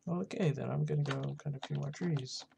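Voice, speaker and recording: A gamer giving casual, halting roleplay commentary, muttering to themself while playing, recorded on a noisy home mic with keyboard clicks. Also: mid-range voice, gravelly. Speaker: gaming video host. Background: background noise, keyboard typing and clicking.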